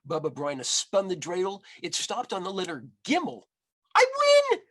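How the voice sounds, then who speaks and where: A man reading a children's storybook aloud as an expressive narrator. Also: high voice, moderate pace.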